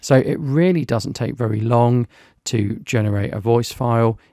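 Gravelly British man explaining software. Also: mid-range voice, moderate pace, no room sound, studio quality. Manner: plain, explanatory, lightly chatty. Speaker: software reviewer. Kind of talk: screen-recorded software tutorial.

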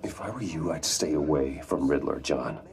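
Composed, wry wealthy man for a game: extremely low voice, restrained, placating delivery. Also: moderate pace, creaky, a little mellow. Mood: serious, probing. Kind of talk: video game voice acting.

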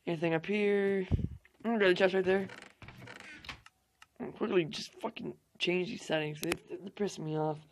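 A young man hosting a gaming channel, hoarse from losing his voice, rambling through casual gameplay commentary with fillers and swearing. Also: high voice, fairly even intonation, moderate pace, pauses often, slight background noise.

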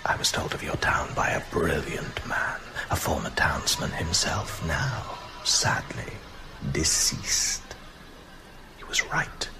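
A sinister vampire lord, in radio drama. His voice is very low and drags out each formal, menacing phrase, sinking into whispers. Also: expressive, full character voice, rough recording. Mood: silky menace. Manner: slow, formal, menacing, breathy delivery. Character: sinister, courteous male vampire lord, foreign-born. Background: dramatic music.

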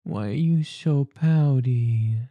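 A woman doing an ASMR roleplay as a mother comforting her child, speaking in a soft, cooing, soothing way close to the mic. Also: very deep voice, slow, dry recording, highs cut off. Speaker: roleplay performer.

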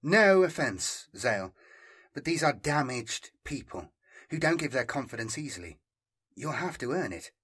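Man voicing a smug, self-assured fugitive, slightly husky. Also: mid-range voice, sing-song, normal speed, fairly bright tone. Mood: appraising, persuasive. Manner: superior, teasing, appraising. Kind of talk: audiobook character dialogue.

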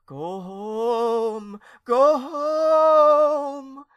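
A man voicing a scolding old woman ghost who wails her words, high and loud. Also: fairly even intonation, clear, resonant tone, no echo, dull audio, heavily acted. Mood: scolding, wailing. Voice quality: shrill, drawn-out wailing.

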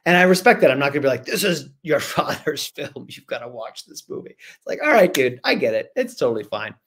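A man in his forties with a medium-pitched voice talks casually as a guest author. He is animated and repeats phrases for emphasis, speaking into a computer mic on a video call from a room at home. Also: brisk, husky. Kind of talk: casual interview chat. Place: small room at home, indoors. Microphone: video call, computer microphone.

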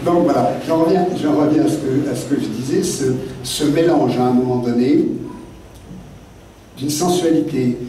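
An older man, a guest author seated at a desk microphone, telling memories in a halting, digressive way full of fillers. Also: mid-range voice, warm tone, a little hiss or hum. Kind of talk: rambling spoken memoir talk. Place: literary café meeting room. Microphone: desk mic on stand, seated. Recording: very echoey room, mic at a distance.

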